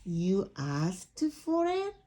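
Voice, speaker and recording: A woman's voice: lowish voice, huge pitch swings, very slow, noisy background, voice pushed down, full character voice. A woman voicing a dramatic, complaining storybook pigeon with a whiny, emphatic delivery. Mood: suspicious. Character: indignant, dramatic pigeon who complains and pleads. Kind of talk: picture book read-aloud character.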